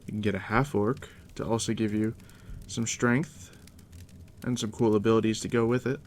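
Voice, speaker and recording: A man speaking softly and unhurriedly as a game master in an ASMR roleplay, explaining things straight to the listener in a hushed, gravelly voice. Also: fairly low pitch. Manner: unhurried, conversational, addressing the listener directly. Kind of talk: soft-spoken ASMR roleplay, explaining tabletop game rules. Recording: steady background noise, fire crackle. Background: crackling fire.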